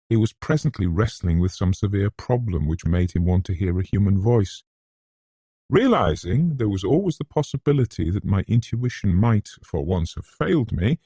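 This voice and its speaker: A deep-voiced British man reads classic gothic fiction in a scholarly, formal way. His tone is dark and mellow, with a husky edge. The delivery is measured and literary. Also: no echo, clean studio sound. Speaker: narrator. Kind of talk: scripted gothic audiobook narration in a mid-century classic manner.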